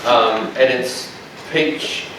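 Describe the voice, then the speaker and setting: A man, a dietitian giving a lecture to health workers in a large room, with a loud, strong voice and a passionate, joking manner. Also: medium pitch, extremely slow, background noise. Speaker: dietitian presenter. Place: large echoey training room. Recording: echoey room, speaker far from mic.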